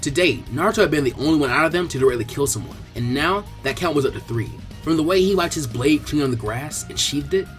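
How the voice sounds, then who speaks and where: Guy reading fanfic narration, pretty fast, music in the background. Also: medium pitch. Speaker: fan fiction narrator. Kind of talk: scripted story narration.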